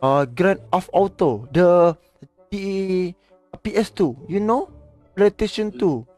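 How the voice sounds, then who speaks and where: A man's voice: high voice, expressive, slow, a little mellow, soft background music. A young man from Malaysia with a Malaysian English accent, chatting on a video call in hesitant, broken English from his bedroom through a headset mic. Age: young adult. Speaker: streamer. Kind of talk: casual video chat with a stranger. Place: bedroom with a gaming chair.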